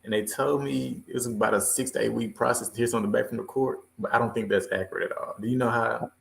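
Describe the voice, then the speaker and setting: A man's voice: medium pitch, fairly even intonation, fast, vocal fry, fairly bright tone, a little hiss or hum, rough recording. A man on a video coaching call, asking hesitant, polite questions. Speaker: student caller.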